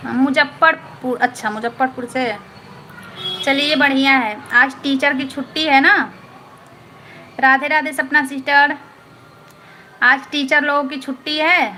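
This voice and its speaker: Woman on a live stream, high voice, chatty and repeats herself a lot, noisy room behind her. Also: steady tone, a little slow, pauses often, bright, forceful tone. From Patna, Bihar. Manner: chatty, repetitive, keeps asking "understood?". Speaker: live-stream host answering viewer comments. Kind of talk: casual live-stream chat.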